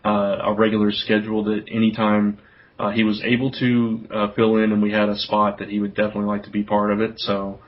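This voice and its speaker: A man's voice: lowish voice, monotone, fairly quick, a little hiss or hum. A man hosting a podcast in casual chat, talking in an informal, rambling way. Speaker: podcast host. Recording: dull, lo-fi podcast audio.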